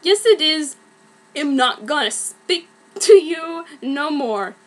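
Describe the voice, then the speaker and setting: A moody teenage heroine acted by a woman reader speaks in a high voice. She is blunt and sulky, a self-pitying girl from an amateur story reading. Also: animated, slow pace. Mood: indignant.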